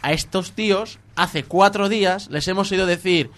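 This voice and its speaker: A man reads out video game news for a radio show in a dry, matter-of-fact tone and makes wry remarks between items. His voice sits a little high. Faint music plays in the background. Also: normal speed, fairly bright tone. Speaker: radio co-host. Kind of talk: reading news, casual banter.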